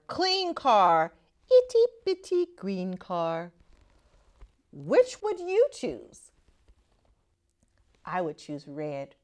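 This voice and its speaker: A middle-aged woman reading a children's picture book aloud in a deliberate, emphatic, theatrical way. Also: fairly high pitch, clean tone.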